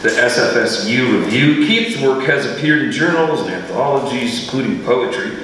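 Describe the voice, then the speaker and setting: A man's voice: medium pitch, expressive, moderate pace, fairly bright tone. A man informally hosting a live literary reading and introducing the readers. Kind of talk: informal live event emceeing. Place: live reading venue, indoors. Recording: echoey hall, distant mic, clear noise.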